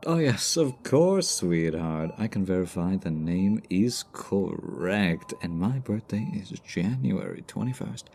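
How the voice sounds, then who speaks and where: A man's voice: on the low side, warm tone, slight background noise. A man acting a smug, flirtatious supervillain in a hospital ASMR roleplay, teasing and theatrically sarcastic. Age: 20s.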